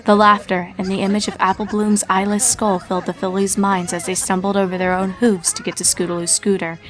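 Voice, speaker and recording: A woman narrating a horror fanfiction story in a measured storytelling manner. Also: mid-range voice, flat delivery, soft background music.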